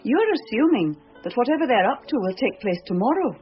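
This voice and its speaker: Teasing wife in a radio play, highish lilting voice, wry and composed, slightly dull audio. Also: female voice, big pitch swings, fairly quick. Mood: reasoning, wry. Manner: gently mocking, composed. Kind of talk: radio drama acting. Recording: band-limited radio drama, faint music.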